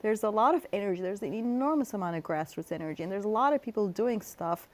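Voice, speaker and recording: A woman's voice: mid-range voice, animated, quick pace. Middle-aged woman from turkey talking it through in an interview, lots of um and restarts. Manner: thoughtful, explanatory, with fillers and restarts. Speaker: guest scholar. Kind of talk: interview answers.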